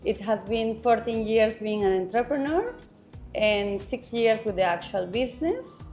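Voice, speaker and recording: A middle-aged woman hosting a casual panel chat, speaking in a friendly, slightly halting way. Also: a little high, lively intonation, a little mellow, soft background music. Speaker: host.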